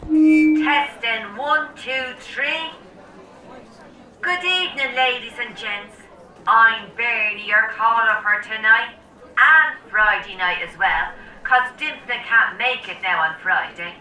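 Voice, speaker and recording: Audio drama: brassy Dublin bingo caller, very sharp tone, unhurried announcing, echoey hall, slightly distant. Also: female voice, on the high side, exaggerated voice. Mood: announcing, chirpy. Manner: showy announcing. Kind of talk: radio drama acting.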